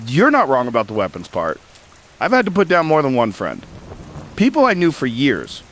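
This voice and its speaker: A man's voice: mid-range voice, wildly swooping pitch, moderate pace, a bit bright, slight background noise. A man playing a weary, earnest survivor hosting a somber radio interview in an apocalypse drama. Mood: somber resolve. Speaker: interviewer, broadcaster. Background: rain and thunder ambience.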